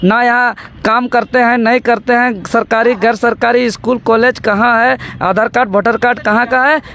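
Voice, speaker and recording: A man's voice: very high voice, fairly even intonation, moderate pace, sharp tone, a little hiss or hum. A man giving a political interview as an activist, arguing emphatically and methodically with repeated phrases. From Jharkhand, India. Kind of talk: political interview, speech-like argument.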